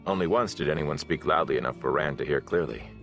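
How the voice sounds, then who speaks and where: Deep-voiced man narrating a fantasy novel. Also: fast talker, fairly bright tone, soft background music. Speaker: audiobook narrator.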